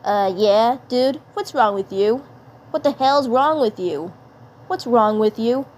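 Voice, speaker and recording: A woman's voice: fairly high pitch, animated, slow pace, darkish tone. A woman voicing a brash, exasperated cartoon schoolboy with a blunt, scolding way of talking.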